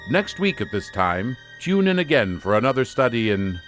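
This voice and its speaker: Male radio drama announcer, lively delivery. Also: mid-range voice, normal speed, music in the background. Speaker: announcer and host. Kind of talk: scripted radio drama sign-off.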